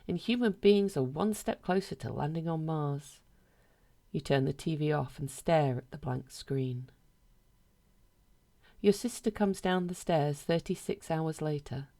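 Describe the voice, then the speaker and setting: Low-pitched woman narrating a story, deadpan. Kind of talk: scripted literary narration, reading a short story.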